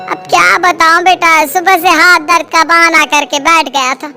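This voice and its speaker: A woman voicing a stern, sharp-tongued middle-aged mother-in-law in a Hindi cartoon, scolding and sarcastic. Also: very high voice, fairly even intonation, normal speed, faint music behind, exaggerated voice. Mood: scheming, exasperated. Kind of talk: Hindi cartoon moral story dialogue.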